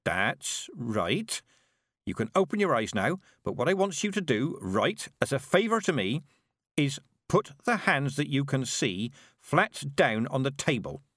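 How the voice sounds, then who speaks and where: A man reading an audiobook, voicing a stern, sharp-tongued old woman witch who snaps orders. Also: mid-range voice, sing-song, a little slow, no echo, studio quality, pitched above the performer's own voice, full character voice. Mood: commanding. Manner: curt, commanding, snaps orders.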